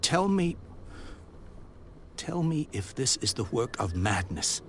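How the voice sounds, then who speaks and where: A proud, grieving old industrialist. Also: male voice, mid-range voice, big pitch swings, takes it slowly, a bit bright, slight background noise, full character voice. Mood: pleading. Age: older. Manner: formal, haughty and pleading. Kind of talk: game voice acting.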